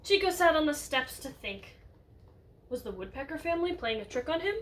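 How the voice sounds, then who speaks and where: A woman with a high voice reads a children's story aloud in an animated, teacherly way. She engages young listeners with questions and asides. The mic sits at a distance, with light noise behind her. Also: brisk. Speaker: teacher reading a picture book to children.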